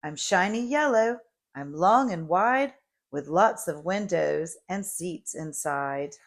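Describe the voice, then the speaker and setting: A woman's voice: fairly low pitch, expressive, slow pace, full character voice. A woman voicing a big, proud, kindly female school bus from a storybook, self-assured and patient.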